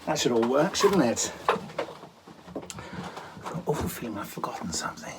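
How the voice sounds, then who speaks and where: A man's voice: medium pitch, very animated, a little slow, over loud music. A man speaking casually, as an audio drama director keeping a behind-the-scenes video diary.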